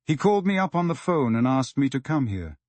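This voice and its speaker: A man's voice: medium pitch, big pitch swings, brisk, no room sound, voice pushed up, lightly acted. A man voicing a frightened, indignant man who protests and accuses melodramatically in a detective novel. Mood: defiant, wary.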